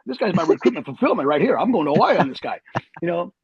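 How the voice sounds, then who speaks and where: A middle-aged man, an Army veteran, telling long rambling stories in an animated way as a podcast guest. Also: sits high, fast talker, lo-fi sound, a crowd in the background. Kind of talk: storytelling interview.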